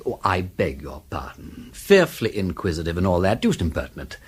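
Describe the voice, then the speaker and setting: An actor playing a flippant, inquisitive aristocratic detective in a British radio drama, with an upper-class English accent and a breezy, foppish, rambling politeness. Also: male voice, deep voice, big pitch swings, a little fast, husky, heavily acted. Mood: politely nosy.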